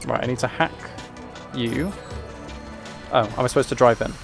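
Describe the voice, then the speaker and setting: A man's voice: mid-range voice, normal speed, vocal fry, music in the background. A man chatting casually as he streams a video game, with dry, wry asides. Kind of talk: casual livestream gaming chat and commentary.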